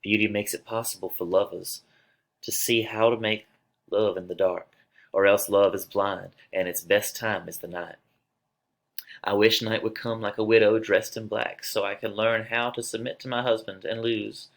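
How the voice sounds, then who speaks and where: A man's voice: on the low side, steady tone, moderate pace, fairly bright tone. A man playing a lovesick young girl in a dramatic reading of a tragedy, declaiming with open emotion. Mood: yearning. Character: lovesick young girl, impatient, then anguished and grieving.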